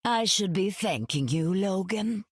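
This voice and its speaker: Villainous game goddess: slow, husky, sweeping intonation, grand and condescending. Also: female voice, mid-range voice, animated, no room sound, studio quality. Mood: silky, condescending. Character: imperious, silky goddess queen of the dead. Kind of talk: video game voice acting.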